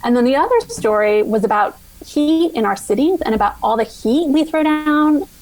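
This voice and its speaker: A woman's voice: high voice, animated, moderate pace, darkish tone, rough recording. A middle-aged American woman from Virginia, a science writer telling her story as a podcast guest, thoughtful and prone to restarting sentences. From Virginia, USA. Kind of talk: casual interview answers.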